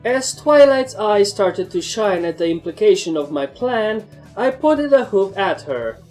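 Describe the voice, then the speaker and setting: A woman's voice: mid-range voice, animated, a little slow, a little mellow, soft background music. A woman reading first-person fan fiction as a scripted story narrator.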